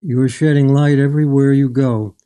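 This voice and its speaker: An older man talks as an author being interviewed about his writing. His voice is soft-edged and mellow, sitting at an average pitch. He is measured and articulate, choosing his words with thought. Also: fairly even intonation, clear, resonant tone. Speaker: guest author. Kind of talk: reflective literary interview.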